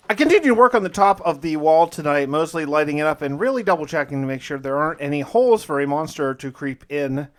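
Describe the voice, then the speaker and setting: Male gaming host, slightly high register. Casual first-person storytelling with folksy, conversational asides. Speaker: gaming video host and player.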